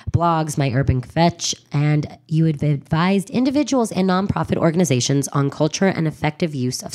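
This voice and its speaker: A woman's voice: low-pitched, darkish tone. A woman hosting a radio interview in a chatty, informal, effusive way, often breaking off mid-thought, recorded in a studio. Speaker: radio show host. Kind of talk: chatty interview, partly reading a bio.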